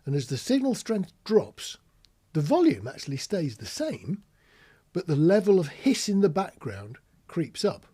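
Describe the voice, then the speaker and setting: A British man gives an informal hands-on lesson in a plain-spoken, explanatory way. His voice sits fairly high and is lively in pitch, with a husky grain. He talks like an instructor who illustrates points with anecdotes. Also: measured pace. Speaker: marine radio instructor. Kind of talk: informal spoken tutorial with practical demonstrations.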